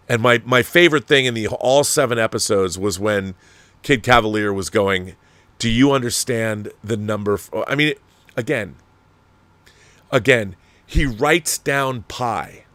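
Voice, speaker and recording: A man with a medium-pitched voice, recorded dry with little room sound. He talks off the cuff like a show host giving strong opinions, rambling and doubling back on words, leaning hard on his points with blunt language. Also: a bit bright, dry recording. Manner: rambling, emphatic, repeats words, swears freely. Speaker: host of a film and TV commentary show. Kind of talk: casual opinionated commentary, answering viewer messages.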